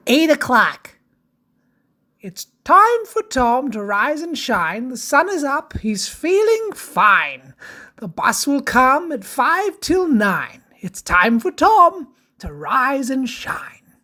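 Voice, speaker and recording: A woman narrating a rhyming children's picture book in a theatrical, sing-song, deliberate way. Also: on the high side, a bit bright. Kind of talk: dramatic read-aloud of a rhyming picture book.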